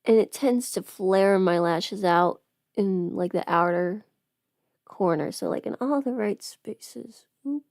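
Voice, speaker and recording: A woman's voice: medium pitch, slow pace, vocal fry, a little mellow, dry recording. Casual woman livestreamer, dry close sound. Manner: rambling, trailing off, talking to herself while busy. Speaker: livestream host. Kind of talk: casual chat while doing makeup.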